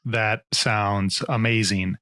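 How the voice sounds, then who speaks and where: A man's voice: on the low side, level tone, takes it slowly, pushed and bright. A man hosting a podcast interview, who asks his guest questions in a friendly way. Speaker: podcast host.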